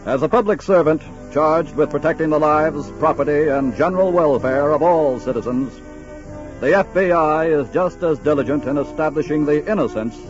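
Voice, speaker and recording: Man narrating old radio crime show, solemn and measured, muffled sound, music behind. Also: fairly high pitch. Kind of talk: formal scripted 1940s radio narration. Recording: muffled 1940s radio broadcast. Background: dramatic music.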